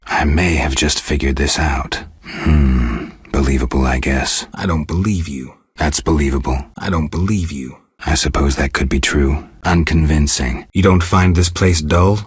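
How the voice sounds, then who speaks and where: Very deep, raspy monster hunter. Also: male voice, dry recording, clean studio sound, exaggerated voice. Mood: probing, dry. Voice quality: gravelly, husky. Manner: terse, deadpan, restrained. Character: stoic, weary monster-hunting witcher, adult man. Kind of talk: video game character dialogue.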